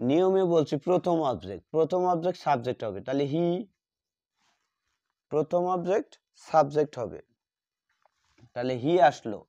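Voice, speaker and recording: A man teaching a grammar lesson, repeating key words slowly as he writes them out. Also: a little high, mellow. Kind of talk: classroom lesson at a board. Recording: dull lo-fi sound.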